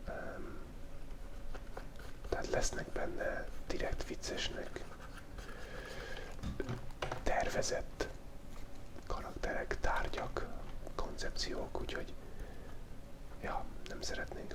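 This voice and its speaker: A young man whispering close to the microphone in a relaxed, joking way, rambling as he draws. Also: very high-pitched, long pauses. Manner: whispering throughout, joking and rambling while drawing. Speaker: ASMR creator drawing a goblin. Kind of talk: whispered ASMR drawing chat. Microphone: close ASMR microphone.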